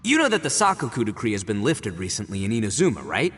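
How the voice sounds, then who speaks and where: An actor voicing a boastful, rowdy male oni gang boss in a video game, brash and quick to laugh. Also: on the low side, huge pitch swings, over music. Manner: brash, loud, laughs a lot. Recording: game audio with long reverb.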